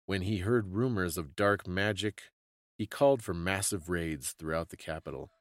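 Low-voiced man reading a dramatic tale. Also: moderate pace, dry recording, clean studio sound. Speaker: podcast host giving a dramatic reading. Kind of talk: dramatic scripted storytelling narration.

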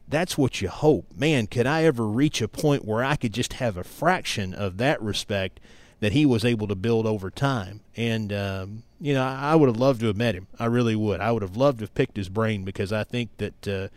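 A man's voice: lowish voice, normal speed, no room sound. A middle-aged American man from East Tennessee, a sports broadcaster talking as an interview guest in an earnest, emphatic storytelling way. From East Tennessee, United States. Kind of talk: conversational interview, reminiscing.

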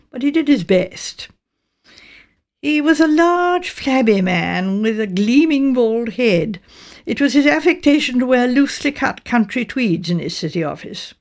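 A woman with a Received Pronunciation British accent, reading a mystery novel as scripted narration in a measured, precise way. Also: fairly high pitch, sing-song, gravelly, darkish tone. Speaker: audiobook narrator.

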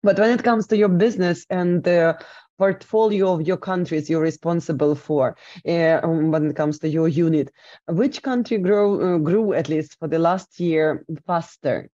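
A woman interviewer with a dark, mellow tone asks long, winding questions and keeps qualifying what she says. The recording is dry and slightly dull, with no room sound. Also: on the low side, normal speed, no room sound. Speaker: interview host.

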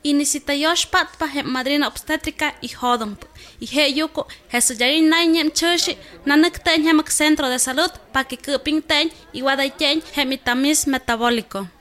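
A woman's voice: sits high, normal speed, fairly bright tone. A woman playing a doctor who explains things to a new mother in a health radio drama. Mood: explanatory.

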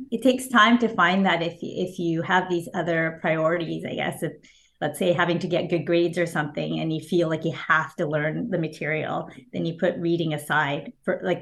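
A woman whose first language is Japanese, speaking as an author guest in an interview, thoughtful and hesitant with fillers and soft laughs. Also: low voice, gravelly. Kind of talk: casual interview answers.

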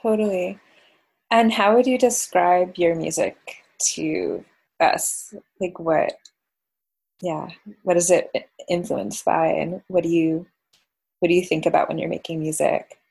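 A woman interviews casually in a husky, gravelly voice that sits on the low side. Her questions are loose and conversational. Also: steady tone, a little slow, darkish tone, rough recording.

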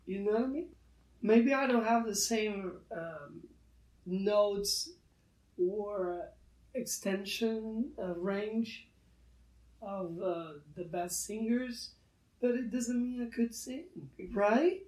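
Slow, halting man in a roomy space. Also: sits high, darkish tone, distant mic. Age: 30s. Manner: halting, many restarts, earnest. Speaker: guest artist. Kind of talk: reflective interview talk.

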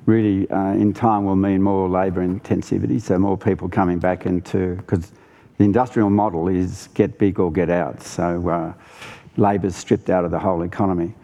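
Deep, creaky-voiced Australian man. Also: dark tone. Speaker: farmer and ecologist, festival panellist. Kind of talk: live panel discussion.

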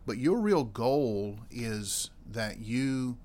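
A man hosting a podcast interview, with a voice of middle pitch. He talks conversationally and affirms his guest as he asks questions. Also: animated, drawn-out words, darkish tone. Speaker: podcast host.

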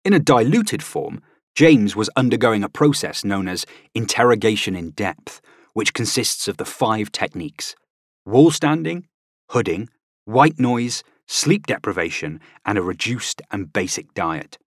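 A man's voice: fairly low pitch, very animated, measured pace, no echo, clean studio sound. A man reading scripted nonfiction as an audiobook narrator, with a dramatic, emphatic delivery.

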